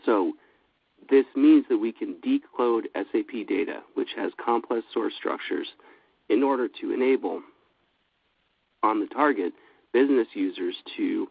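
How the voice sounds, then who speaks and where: A low, gravelly man with a cold presents at a steady, businesslike pace, with frequent fillers. The sound is thin and phone-like and very dry. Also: measured pace, no echo. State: has a cold. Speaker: webinar presenter. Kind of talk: scripted product presentation.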